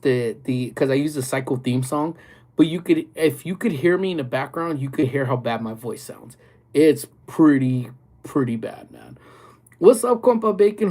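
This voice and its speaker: A man's voice: on the high side, warm tone. Congested, rambling male streamer. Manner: rambling, loose talk with many fillers and frequent laughs. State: a little congested and nasal. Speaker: livestream host. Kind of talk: casual livestream chat with viewers.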